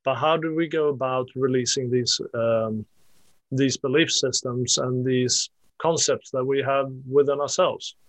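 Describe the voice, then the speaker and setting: A man hosts a reflective conversation in a dry, close-sounding recording. His pitch sits in a middle range, and he talks in a thoughtful, measured way, often pausing with fillers as he thinks. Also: steady tone, no echo. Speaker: host. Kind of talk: reflective discussion.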